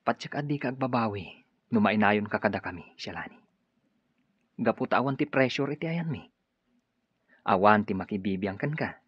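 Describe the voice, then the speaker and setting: A man with an Ilocano accent playing a smooth-talking, persuasive stranger in a radio drama. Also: fairly low pitch, lively intonation, moderate pace, long pauses, dry recording. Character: Edgar, smooth-talking male stranger, persuasive recruiter for a group. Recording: dull lo-fi radio drama recording.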